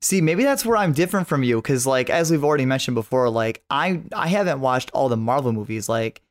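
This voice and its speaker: A man with a medium-pitched voice talks as a podcast co-host. His delivery is casual and conversational, rambling through opinions and peppering sentences with "like". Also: animated, a little fast.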